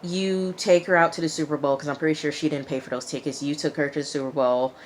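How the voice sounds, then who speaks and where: A woman's voice: sits low, fairly even intonation, fast, slight background noise. A woman giving a casual, conversational and opinionated reality TV recap, acting out what people said as she goes. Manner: conversational, opinionated, acts out quotes. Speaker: TV show reviewer.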